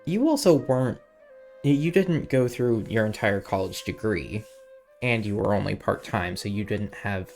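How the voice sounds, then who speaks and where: A streamer with lively, varied intonation chats casually over faint music. The talk is informal and rambles along. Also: medium pitch, moderate pace. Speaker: game streamer. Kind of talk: casual game stream chat.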